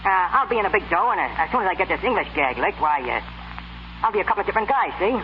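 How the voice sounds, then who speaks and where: A muffled, hissy old 1930s radio recording carries a brash New York fight manager. His voice is high and swings widely as he talks tough, awkward street slang in screwball comedy style. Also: animated, racing. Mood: earnest, fumbling.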